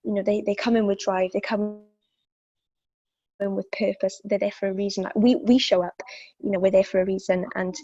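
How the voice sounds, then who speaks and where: A woman's voice: mid-range voice, little pitch movement, a little fast, mellow, dry recording, soft background music. Woman, Welsh accent, young adult, fitness instructor, hesitant fillers, muffled lo-fi sound. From South Wales. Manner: thoughtful, many fillers and restarts. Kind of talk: interview answers.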